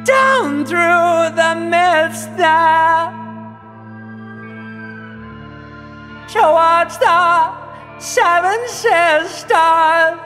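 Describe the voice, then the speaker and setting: An eerie inner voice from a video game, the weary, menacing murmur of a nervous system. It sits unnaturally high and drags out each phrase with breathy, theatrical doom. It echoes in a long cavernous reverb. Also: male voice, sharp tone, faint music behind, full character voice. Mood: mournful singing. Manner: slow, breathy, dramatic, ominous.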